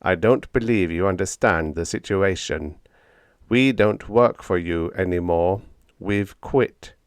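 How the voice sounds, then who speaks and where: A man voicing a polite, solemn, smug android rebel in an audiobook, speaking in a measured way. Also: low-pitched, clear, resonant tone, dry recording. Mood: solemn, firm. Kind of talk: audiobook character dialogue.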